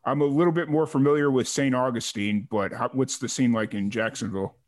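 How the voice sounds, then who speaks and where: A man's voice: mid-range voice, steady tone, brisk. Rambling friendly male interview host. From Chicago. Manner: rambling, friendly, hesitant with "uh" and restarts. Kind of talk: casual interview chat.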